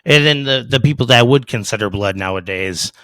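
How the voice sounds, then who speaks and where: A man's voice: mid-range voice, a little fast, no room sound. A man in his thirties on a casual podcast, talking bluntly and cursing freely. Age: 30s. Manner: blunt, profane and animated. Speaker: podcast guest.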